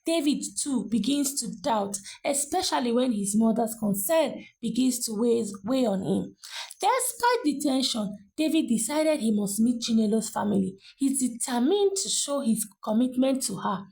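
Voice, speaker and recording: Female narrator, high lively voice with a creaky edge, for dramatic scripted storytelling. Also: normal speed.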